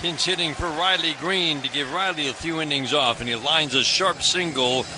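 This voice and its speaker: Brisk radio baseball announcer man. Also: background noise. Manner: brisk, rises in pitch on big plays. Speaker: play-by-play announcer.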